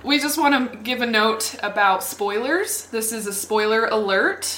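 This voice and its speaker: A young woman hosting a casual podcast, friendly and relaxed, giggling now and then. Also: a little high, normal speed, slight background noise. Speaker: podcast host. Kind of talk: casual podcast intro and chat. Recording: roomy echo with faint ambience.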